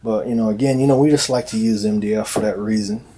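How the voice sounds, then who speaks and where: A man speaking casually and off the cuff as a loudspeaker maker presenting products, in a hesitant, repetitive, halting way. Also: fairly low pitch, fairly even intonation. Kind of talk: casual unscripted product explainer. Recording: noticeable background noise, amateur video sound.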